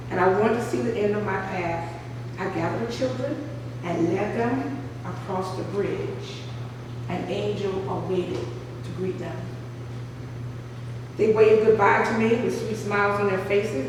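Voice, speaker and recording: A woman's voice: medium pitch, a little slow, recorded from a distance, background noise. Woman reading her book in an echoey cafe. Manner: earnest, heartfelt storytelling. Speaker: author reading at a book signing. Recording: echoey room, voice from afar.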